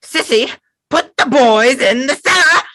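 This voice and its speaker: A man voicing an unhinged dark witch, shrieking in a high, raspy, intense voice. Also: lively intonation, measured pace, heavily acted. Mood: manic. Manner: intense, shouting.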